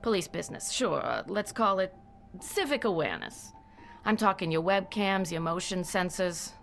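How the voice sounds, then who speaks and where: A woman's voice: medium pitch, animated, moderate pace, vocal fry, a bit bright, slight background noise. An actress playing a wry, tough small-town policewoman in a video game, plain-spoken and folksy. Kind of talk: video game character monologue.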